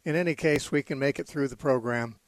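A hoarse man hosting a live call-in Bible radio show, speaking with frequent fillers and restarts. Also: mid-range voice, fairly quick, no room sound. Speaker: radio talk show host.

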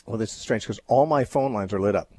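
A man hosting a radio call-in show, teaching on the Bible in a measured, thoughtful way with frequent fillers and restarts. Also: on the low side, a little mellow, no room sound.

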